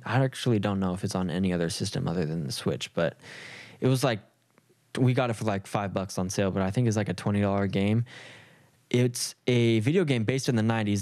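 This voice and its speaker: Low-voiced man talking casually, dry sound. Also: darkish tone, dry recording. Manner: informal, rambling, slangy. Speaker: music producer. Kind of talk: casual reflective talk.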